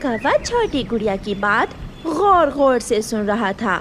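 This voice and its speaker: High-voiced, animated woman storyteller. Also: measured pace, slight background noise. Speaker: story narrator. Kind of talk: scripted children's cartoon narration.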